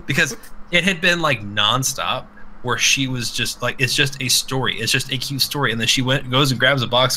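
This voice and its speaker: A man's voice: medium pitch, sharp tone, slight background noise, low-quality recording. A man co-hosting a casual podcast, telling rambling stories in a crude, profane way. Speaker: podcast co-host. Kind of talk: casual podcast banter and storytelling.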